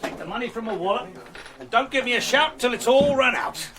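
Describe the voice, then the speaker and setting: An actor playing a boastful, jovial young man home with money from the colonies, talking in a loud, hearty, showy way. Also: extremely high pitch, animated, fairly quick, a crowd in the background. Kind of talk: radio drama acting.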